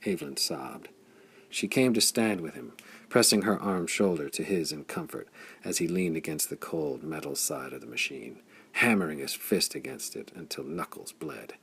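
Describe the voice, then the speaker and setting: A man reading a pulp science-fiction story aloud as an audiobook narrator, with a gravelly, husky voice and a measured, dramatic delivery. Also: low voice, lively intonation, a bit bright.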